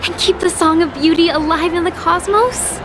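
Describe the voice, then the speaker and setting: A woman playing a sweet, polite young singer in a video game, speaking in a gentle, earnest way. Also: extremely high pitch, normal speed. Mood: grateful, gushing. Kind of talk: scripted video game voice acting. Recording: background music under the voice.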